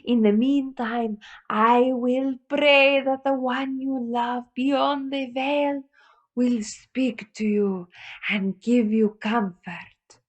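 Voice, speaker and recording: A woman acts out a phony lady psychic for a comedy audio drama. She speaks slowly in a fairly high voice, with lofty, mystical solemnity and a theatrical touch. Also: fairly even intonation, lo-fi sound. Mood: lofty, pious. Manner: grandiose, slow, mystical delivery.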